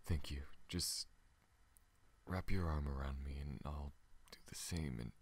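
A man playing a gentle, honorable, wounded alien pilot in an ASMR audio roleplay, breathy and halting, often slipping into a whisper. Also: a bass voice, full character voice. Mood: halting, reassuring. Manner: slow, halting, earnest. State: weak and recovering from wounds. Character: gentle, honorable, battle-worn tall male alien pilot.

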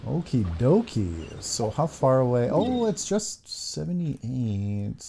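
A man's voice: mid-range voice, sing-song, takes it slowly, vocal fry, noisy background. A man in his thirties giving casual playthrough commentary as a gaming streamer in a room at home. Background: game music and sound.

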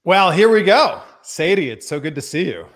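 A man's voice: on the high side, big pitch swings, normal speed, noisy background. A man in his thirties from Canada, hosting a casual interview from his home studio. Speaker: interview host. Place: small home studio indoors. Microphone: desk microphone.

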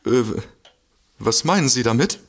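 An actor playing a gullible, excitable man in a comic audio drama, stammering and easily amazed. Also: medium pitch, measured pace, gravelly. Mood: puzzled, curious. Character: gullible, excitable man, a food-loving paranormal enthusiast.

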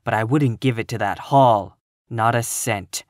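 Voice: medium pitch, measured pace, no echo, studio quality, natural voice. A narrator voicing a grim, sour, scornful spinster in her fifties from a storybook, full of gloom and contempt. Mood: grim, disapproving. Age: 50s. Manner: grim, scornful, flat pronouncements.

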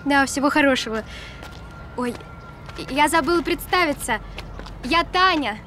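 An actress in an audio drama playing a friendly, casual dog owner chatting and playfully scolding. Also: female voice, sits high, lively intonation, measured pace, sharp tone, a little hiss or hum, full character voice.

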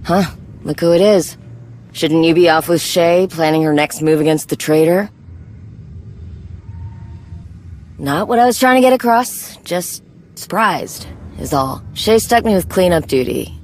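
A woman's voice: lowish voice, moderate pace, a little mellow, a little hiss or hum, lightly acted. A woman playing a tough, guarded young warrior in a video game, speaking bluntly and drily. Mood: guarded, dry. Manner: blunt, dry, no-nonsense. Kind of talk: video game cutscene acting.

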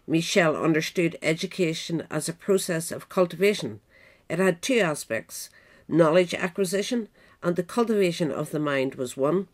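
A woman's voice: low-pitched, expressive, normal speed. A woman narrator reading a scripted biographical essay aloud. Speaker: narrator, reader.

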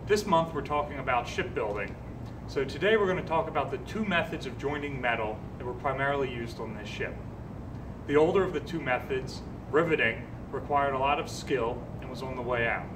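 Museum curator outdoors, distant mic. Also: male voice, mid-range voice, a little fast, noisy background. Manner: steady, informative, points things out while crouching. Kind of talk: guided tour explanation. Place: outdoors on a battleship deck. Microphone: camera some distance away.

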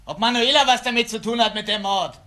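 High, sharp-voiced young man. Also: quick pace. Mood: agitated. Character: helpless, defensive young man, rough-milieu brother. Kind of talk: German crime radio drama acting.

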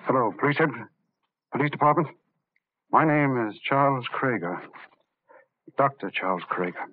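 A man's voice: medium pitch, expressive, moderate pace, close to an everyday voice. An actor playing a grave, authoritative male doctor in an old-time radio drama. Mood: grim confession. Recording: tinny, hissy old radio recording.

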